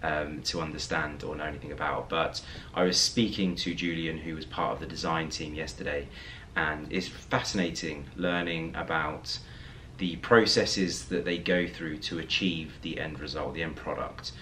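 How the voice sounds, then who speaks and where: A young man talking casually to camera in a hotel room, his voice slightly raspy after a late night. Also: extremely low pitch, normal speed, a little hiss or hum. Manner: relaxed, talks with hands. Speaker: vlogger. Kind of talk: casual direct-to-camera vlog talk.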